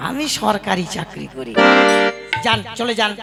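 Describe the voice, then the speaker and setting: A man's voice: sits high, very animated, measured pace, vocal fry, exaggerated voice. A man voicing a greedy government official in a puppet cartoon who blocks boats until he is paid a bribe. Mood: smug. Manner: smug, bossy, demanding bribes. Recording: voice over loud music.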